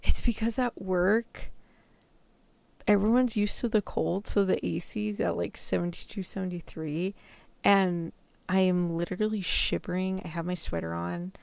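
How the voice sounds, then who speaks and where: A woman's voice: lowish voice, fairly even intonation, unhurried, gravelly, darkish tone, dry recording. A woman streamer chatting casually with her viewers, her throat a little sore. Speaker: VTuber streamer. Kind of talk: casual livestream chat.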